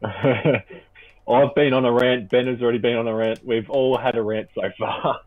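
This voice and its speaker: A man's voice: medium pitch, steady tone, moderate pace. A man chatting and teasing his friends over a voice call during a game stream, laughing often. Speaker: stream co-host and chat moderator. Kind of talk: casual chat over voice call. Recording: tinny voice-chat line.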